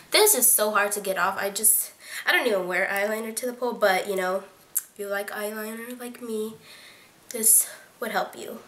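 A woman's voice: medium pitch, a bit bright. A teenage girl reviewing beauty products in a casual chat to her webcam, rambling with lots of fillers. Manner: chatty, filler-heavy, lots of "like" and "um". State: voice sounds odd to her today. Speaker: beauty vlogger. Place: small bedroom, indoors. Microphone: webcam at close range. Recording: roomy bedroom echo.

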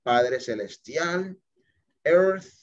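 Guy teacher on a video call, slow and chatty, keeps asking "ok?", muffled lo-fi sound. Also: fairly high pitch, expressive. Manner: chatty, checks in with "listo?" and "ok?", mixes English phrases. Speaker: English teacher. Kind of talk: screen-share app walkthrough for students. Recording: dull lo-fi video call audio.

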